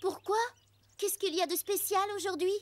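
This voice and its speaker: A woman's voice: extremely high pitch, fairly even intonation, moderate pace, a little mellow. A woman voicing a spirited young girl from a riding ranch in a children's cartoon. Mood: indignant. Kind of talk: animated children's series dub.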